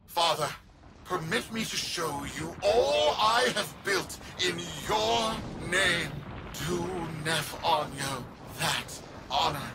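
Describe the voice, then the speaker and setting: A man's voice: on the high side, lively intonation, slow, a crowd in the background. An actor playing a pompous, scheming zealot tycoon who craves his father's approval, declaiming grandly in a video game. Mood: fawning, awed.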